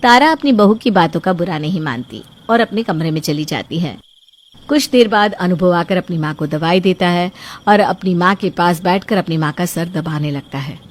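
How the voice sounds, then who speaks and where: A woman narrating a scripted family story in an even, storytelling manner. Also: on the low side, moderate pace.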